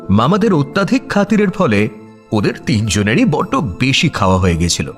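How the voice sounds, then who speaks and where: A man narrating a scripted audio story in a dramatic storytelling style. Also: medium pitch.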